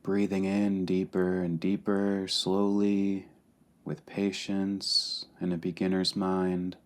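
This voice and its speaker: A man leading a guided meditation calmly and deliberately, pausing between short phrases. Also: sits low. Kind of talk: guided meditation, slow and spacious.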